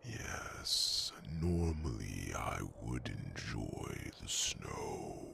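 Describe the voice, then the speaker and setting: A man voicing a grave, wise fantasy creature of the forest in ASMR roleplay, with a breathy, gravelly whisper, formal phrasing and murmured pauses. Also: very deep voice, big pitch swings, background noise, exaggerated voice. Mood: weary, brooding.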